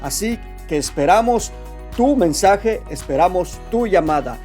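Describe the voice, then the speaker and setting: Man, testimony show host, fervent preacher-like exhortation, raised pitch, light music bed. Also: expressive, measured pace, a little mellow.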